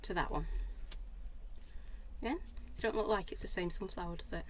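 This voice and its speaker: A woman with a slightly lower, croaky voice talks chattily through handmade cards on a live stream. She greets viewers by name as she goes. Faint room ambience sits behind her. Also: moderate pace, slight background noise. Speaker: craft stamping demo host. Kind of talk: live-stream craft show-and-tell.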